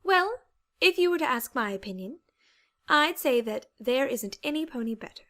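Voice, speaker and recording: Woman doing a posh-mannered girly pony lady, high voice, genteel and caring, kinda theatrical, audiobook character. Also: lively intonation, moderate pace, sharp tone. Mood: reassuring. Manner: genteel, polite, theatrical phrasing. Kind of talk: dramatized audiobook character acting.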